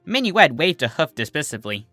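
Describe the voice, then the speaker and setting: A narrator reading a scripted story in an animated storytelling style. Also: medium pitch, fairly quick, dry recording, soft background music. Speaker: narrator, fan fiction reader.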